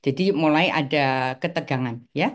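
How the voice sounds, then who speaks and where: A woman in her sixties from East Java, a guest recalling her family's past in an interview, pausing often with fillers. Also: sits low. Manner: hesitant, many filler pauses. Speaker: guest, article author. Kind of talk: interview, personal recollection.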